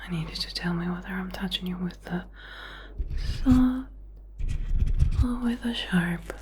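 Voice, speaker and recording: A woman doing a whispered ASMR medical role play as a doctor, giving soothing, slow, repetitive instructions. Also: fairly low pitch, noisy background. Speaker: ASMR performer playing a doctor.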